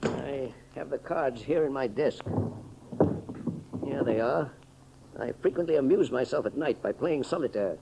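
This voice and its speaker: A man acting a grave, self-sacrificing scientist in a formal, deliberate 1930s radio serial style. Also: medium pitch, gravelly. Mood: grave, composed. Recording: noisy, humming 1930s radio serial recording.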